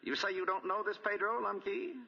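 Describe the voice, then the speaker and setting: An actor playing a shrewd, composed amateur detective in 1940s radio drama style, with probing, deliberate questioning. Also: male voice, high-pitched, steady tone, gravelly, sharp tone, big-room sound, exaggerated voice. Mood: pointed, reasoning. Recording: dull, lo-fi 1940 radio broadcast.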